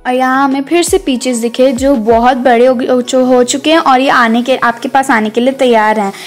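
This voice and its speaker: High-voiced woman narrating a vlog. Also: steady tone, normal speed. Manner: chatty, mixes in English words. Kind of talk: casual vlog voice-over. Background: background music.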